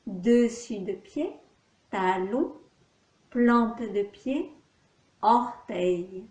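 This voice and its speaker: In an echoey room, a woman with a soft, mellow voice leads a guided meditation as a yoga teacher. She speaks extremely slowly and calmly, leaving long pauses between short, measured instructions. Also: a little high.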